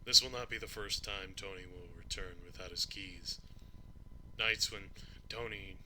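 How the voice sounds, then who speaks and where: Man putting on a halting old grandpa, low voice. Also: full character voice, low-quality recording. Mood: storytelling. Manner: halting, rambling, stumbling over words, gently teasing. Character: kindly old grandpa reading a bedtime story, easily tired. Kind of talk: slow storybook reading with doddering asides. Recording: steady ceiling fan noise behind. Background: ceiling fan.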